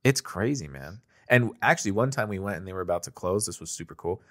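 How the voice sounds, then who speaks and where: A young man with an American accent and a fairly low voice hosts a casual conversation. He speaks informally, with slang and easy anecdotal phrasing. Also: expressive, fast talker, fairly bright tone. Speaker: podcast host. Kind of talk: casual chat.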